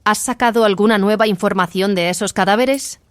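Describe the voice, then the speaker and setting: A woman's voice: mid-range voice, a little fast, no room sound, natural voice. A woman as a stern, commanding warrior nun. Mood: cool, inquiring. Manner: firm, interrogating. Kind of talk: dramatised audio fiction dialogue in Spanish.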